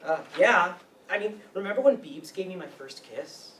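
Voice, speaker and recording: An earnest, self-serious teenage boy, acted by a man in a stage play reading. The delivery is highly animated and bright, with emphatic indignation ready at hand. It is heard from a distance in an echoey room. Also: moderate pace. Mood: lovesick. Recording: roomy, distant mic with background noise.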